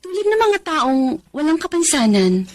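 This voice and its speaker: A woman playing a gentle, respectful young wife and mother in a radio drama, with a Filipino accent. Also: sits high, big pitch swings, normal speed. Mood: earnest, hopeful. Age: young adult.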